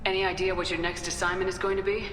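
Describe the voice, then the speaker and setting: A woman's voice: on the low side, level tone, rapid-fire, lightly acted. A woman playing a blunt, defiant female starship commander in a video game. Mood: businesslike. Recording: echoey hall reverb, music behind.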